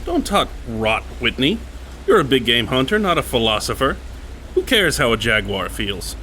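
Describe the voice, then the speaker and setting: Brash, bright-voiced hunter. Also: male voice, medium pitch, sing-song, normal speed, slight background noise. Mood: dismissive. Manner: dismissive, assertive. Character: brash, callous, self-assured male big game hunter. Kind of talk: dramatized audiobook acting.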